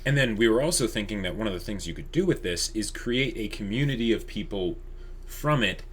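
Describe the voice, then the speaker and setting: A man co-hosting an online channel, explaining ideas casually and eagerly, halting and repeating words as he goes. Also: fairly low pitch, expressive, a bit bright, husky.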